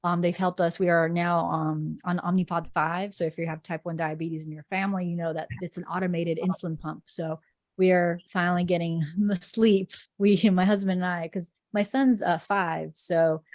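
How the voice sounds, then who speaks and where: A woman's voice: fairly low pitch, fairly even intonation, normal speed, darkish tone, dry recording. A woman in her thirties whose first language is Portuguese, talking in a chatty, rambling way with frequent ums as a guest on a podcast interview. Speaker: sports reporter guest.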